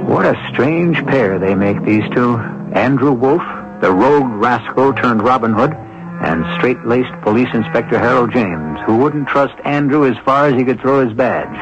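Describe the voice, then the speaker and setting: A man hosting and narrating a radio mystery series in a deliberate, theatrical, dramatic scripted style. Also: mid-range voice, animated. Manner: theatrical, deliberate, rhetorical questions. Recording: dull lo-fi 1970s radio broadcast. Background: prominent music.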